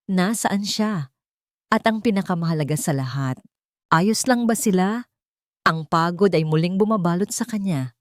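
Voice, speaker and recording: Female storyteller, lower register, deliberate dramatic delivery, close dry recording. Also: a little mellow, no echo. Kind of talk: scripted dramatic story narration.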